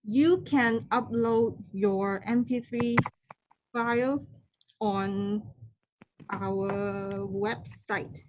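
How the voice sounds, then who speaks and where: A woman hosts a question session on a video call. Her voice sits a little high and she speaks politely and formally, with halting phrasing. The audio is somewhat dull. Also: noisy background. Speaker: online workshop moderator. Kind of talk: hosting an online question session.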